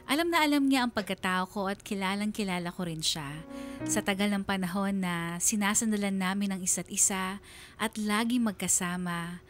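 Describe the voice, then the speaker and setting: A woman's voice: mid-range voice, moderate pace, soft background music. A woman reading a scripted first-person radio drama narration in a confiding, sentimental manner.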